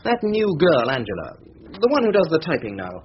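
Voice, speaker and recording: An actor playing a pompous, peevish English barrister in a radio comedy-drama, exasperated and clipped. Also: male voice, on the high side, huge pitch swings, a little fast. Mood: fussy complaint. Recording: muffled radio recording, faint noise.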